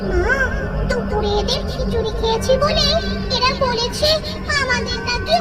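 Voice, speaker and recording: A woman's voice: very high voice, a little slow, recorded from a distance, over loud music, pitched above the performer's own voice. A woman voicing a frightened young girl ghost who whines and pleads, in a cartoon. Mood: complaining. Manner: whining, pleading to mother. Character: frightened, whiny young daughter ghosts, girlish and childlike.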